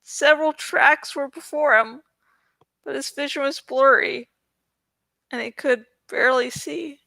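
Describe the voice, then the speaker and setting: A woman's voice: high-pitched, fairly even intonation, measured pace, creaky, bright, forceful tone, dry recording. A woman hosting a read-aloud, moving between casual asides and dramatic audiobook-style narration of a novel. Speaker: host reading a novel aloud. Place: home study, close dry recording.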